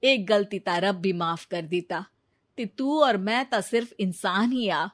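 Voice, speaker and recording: Forgiving older mother-in-law for a storybook tale, female narrator acting: unhurried, firm, earnest, Punjabi accent. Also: mid-range voice, no echo, studio quality, natural voice. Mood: persuasive.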